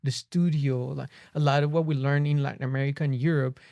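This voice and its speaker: A man's voice: medium pitch, steady tone, quick pace, gravelly, a little mellow, no room sound, lo-fi sound. A man with a Colombian accent, a native Spanish speaker, telling his story reflectively as a podcast guest. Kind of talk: personal storytelling in a conversation. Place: small podcast studio. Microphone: close boom-arm studio microphone.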